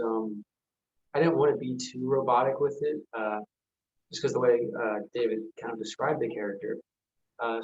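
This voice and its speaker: A man answering casually as an actor guest on a video call, tentative and halting as he explains himself. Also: mid-range voice, a little mellow. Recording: dull, lo-fi call audio.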